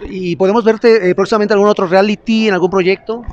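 A man's voice: sits high, steady tone, quick pace, slight background noise. A male reporter asking casual interview questions, with slightly muffled location sound. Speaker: entertainment reporter. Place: large venue entrance, red carpet.

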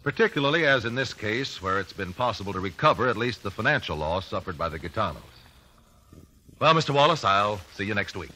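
A man's voice: fairly low pitch, lively intonation, a little fast, sharp tone, poor audio. An American man playing a righteous, formal attorney in a 1950s radio courtroom drama. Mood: calm, courteous. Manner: formal, declamatory. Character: forceful, righteous male attorney confronting a culprit in court.

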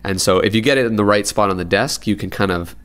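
A man's voice: fairly low pitch, fast talker, fairly bright tone, smooth. A man giving a casual, unscripted repair demonstration, rambling informally as he thinks aloud. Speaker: radio repair hobbyist and presenter. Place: indoors at a desk in an apartment living room.

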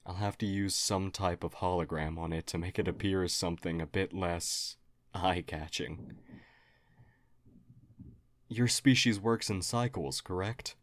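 A man's voice: sits low, a little slow, pauses often, dry recording. A man playing a friendly alien historian, formal, earnest and hesitantly apologetic, in an audio roleplay. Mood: earnest, polite. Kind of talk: audio roleplay acting, addressed to listener.

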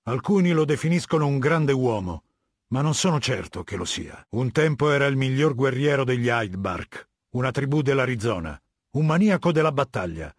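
An actor voicing a cold, haughty middle-aged warlord dictator in a video game, lecturing in a measured, commanding and disdainful way. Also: male voice, mid-range voice, very animated, slightly rough, no room sound, clean studio sound. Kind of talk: video game character dialogue.

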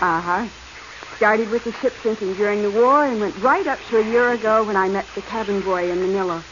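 A woman's voice: medium pitch, a little fast, close to an everyday voice. Woman acting a female treasure hunter, dreamy, alluring, 1940s radio drama, muffled, hissy. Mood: dreamy, eager. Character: attractive, dreamy adventuress hungry for treasure. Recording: muffled, noisy old radio recording.

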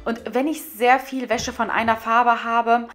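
A woman's voice: on the high side, little pitch movement, unhurried, a bit bright, faint music behind. Chatty woman explaining her routine. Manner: chatty, explaining step by step, repeats words for emphasis. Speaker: family vlogger. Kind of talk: voice-over narration of a household routine.

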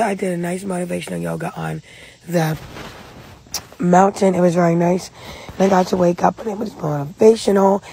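A young woman doing a casual, plainly told vlog voice-over with a husky voice. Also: mid-range voice, dark tone, a little hiss or hum, rough recording. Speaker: vlogger. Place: voice-over, partly recorded outside.

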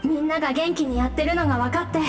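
A woman voicing a gentle, grateful young male ghost with a sincere delivery in a Japanese anime game. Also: high voice, fast, lightly acted. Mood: contented, grateful. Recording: studio voice, clear background music.

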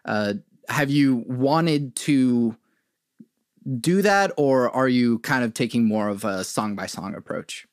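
Slow, hesitant male interviewer, dry sound. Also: mid-range voice, clear, resonant tone, no room sound. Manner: hesitant, searching questions with many fillers. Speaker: podcast host. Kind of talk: podcast interview.

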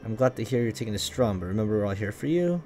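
A man voicing a steady, reassuring male starship captain in a visual novel. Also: on the low side, very fast, soft background music, close to an everyday voice. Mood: supportive.

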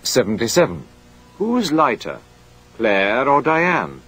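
A man reading a scripted language course drill in a clear, measured way. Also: medium pitch, sing-song, a bit bright, a little hiss or hum.

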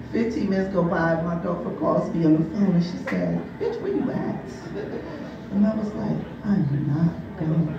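A woman's voice: medium pitch, lo-fi sound. A woman in her forties from New Jersey doing a bawdy stand-up set, often asking "you know what I mean?". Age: 40s. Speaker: stand-up comic. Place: brewery taproom with live audience. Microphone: filmed from the crowd by a far camera. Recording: echoey room, from far off, crowd noise.

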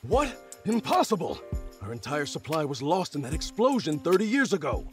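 Military general, middle-aged male, video game. Formal and dutiful, with lively intonation. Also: fairly high pitch, soft background music. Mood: shocked. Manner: formal, military, measured phrasing. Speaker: army general character. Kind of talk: English video game voice acting.

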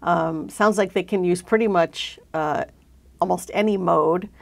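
A woman's voice: lowish voice, unhurried, creaky. A middle-aged woman hosting a conversational interview, recorded indoors in a studio on a lapel mic. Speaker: interview host. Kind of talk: conversational interview on a hobby show.

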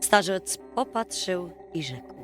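A woman storyteller reading a bedtime fairy tale in an expressive, dramatic, folksy way. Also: on the low side, slow pace. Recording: music behind the voice. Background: music.

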